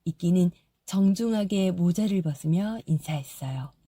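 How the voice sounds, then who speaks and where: Husky low-ish woman reading a kids' story, mellow and dry. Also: expressive, moderate pace, dry recording. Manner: soft, gentle storytelling. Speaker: audiobook narrator. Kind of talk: scripted storybook narration.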